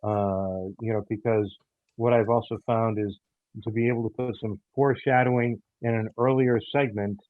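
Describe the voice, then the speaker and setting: A man answering as an interview guest, haltingly, often repeating words as he searches for phrasing. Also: on the low side, steady tone, dry recording. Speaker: audio drama writer, interview guest. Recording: tinny phone-like call audio.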